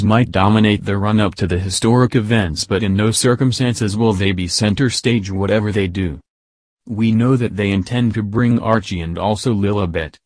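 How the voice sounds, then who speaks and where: A man narrating a scripted news piece in an even reading manner. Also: lowish voice, no room sound. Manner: even, reading quotes in the same voice.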